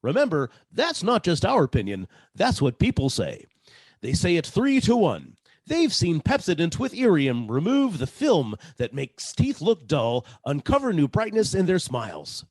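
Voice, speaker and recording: A man's voice: fairly high pitch, wildly swooping pitch, moderate pace, husky, no room sound, heavily acted. A man playing a polished 1940s radio announcer with emphatic, salesmanlike delivery. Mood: upbeat selling.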